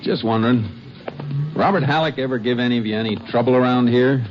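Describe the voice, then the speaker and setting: An actor playing a steady, shrewd Texas Ranger in a 1950s radio crime western, questioning and narrating in a terse, matter-of-fact way. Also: male voice, medium pitch, fast talker, close to an everyday voice. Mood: probing, businesslike. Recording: muffled, noisy old 1950s radio recording.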